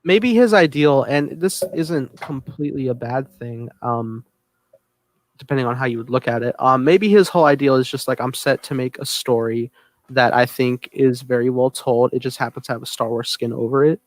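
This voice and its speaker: A man's voice: medium pitch, normal speed, dry recording. A man hosting a podcast, leading the topics in a casual, conversational way.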